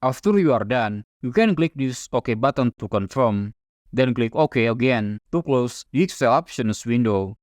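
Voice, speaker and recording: A man whose first language is Indonesian, calmly narrating a step-by-step software tutorial over a screen recording. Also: medium pitch, moderate pace, no echo, studio quality. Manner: calm, methodical step-by-step explaining.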